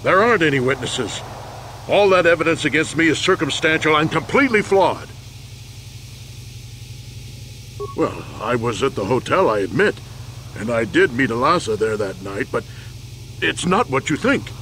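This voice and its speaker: A man's voice: mid-range voice, sing-song, normal speed, pushed and bright, a little hiss or hum. An actor playing a crippled old war veteran in a video game, emphatic and protesting his innocence. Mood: defensive. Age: older.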